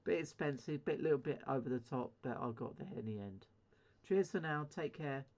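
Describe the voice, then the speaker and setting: A man's voice: mid-range voice, normal speed, a little mellow, muffled recording. A British man chatting casually as a CB radio hobbyist on his video channel, rambling hesitantly in a self-deprecating way. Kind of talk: casual hobby vlog chat.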